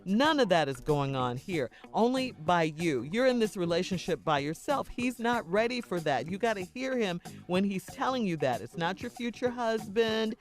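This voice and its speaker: A woman's voice: medium pitch, expressive, normal speed, few pauses, soft background music. An American woman hosting a conversational morning radio advice segment, speaking frankly and admonishingly. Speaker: radio host.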